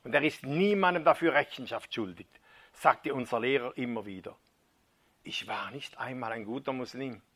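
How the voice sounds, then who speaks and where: A man preaching, retelling a first-person conversion story as a dramatic reading that drops to a hushed near-whisper at key moments. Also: mid-range voice, normal speed.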